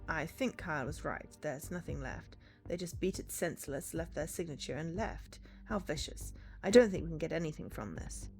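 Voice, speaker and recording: A woman's voice: deep voice, expressive, moderate pace, hardly any pauses, a little mellow, soft background music. A woman voicing a guarded, businesslike female investigator in a visual novel, questioning and matter-of-fact. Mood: serious, matter-of-fact.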